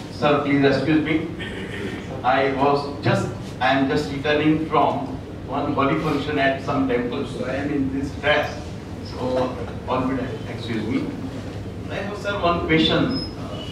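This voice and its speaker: A man with an Indian accent putting a polite, halting question to a panel, often saying "sir". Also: mid-range voice, fairly even intonation. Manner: polite, deferential, repeats "sir". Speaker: audience member asking a question. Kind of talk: formal, halting question from the floor. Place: large lecture hall. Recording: echoey hall, far mic, noisy.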